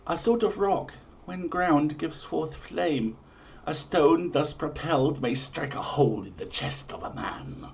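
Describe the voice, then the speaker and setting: A man's voice: a little high, unhurried, vocal fry, a little hiss or hum. A man hosting a livestream, speaking in an emphatic, theatrical way as a reader and presenter. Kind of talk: dramatic comic reading in mock-medieval English, then casual chat.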